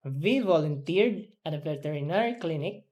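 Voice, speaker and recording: A woman learning English, reading drilled words and sentences aloud in a careful, halting way. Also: low voice, lively intonation, darkish tone.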